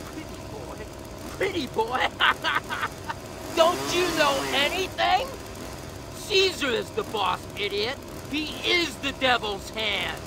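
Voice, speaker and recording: A man playing a mean, theatrical biker gangster in a video game, excitable and quick to taunt or beg. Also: very high-pitched, creaky, heavily acted. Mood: taunting, aggressive. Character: mean, mocking biker gangster, turning to desperate begging. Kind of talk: video game villain acting. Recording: loud noise over the voice.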